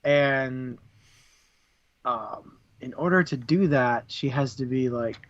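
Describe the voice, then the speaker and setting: Man, mid-pitched, unhurried, casual book discussion, slightly dull lo-fi sound. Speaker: book club podcast co-host.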